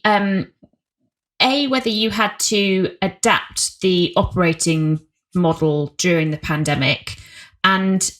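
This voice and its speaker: A woman's voice: medium pitch, fairly bright tone. A woman hosting a podcast, asking questions in a chatty, wry and self-deprecating way. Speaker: podcast host. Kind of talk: casual interview chat.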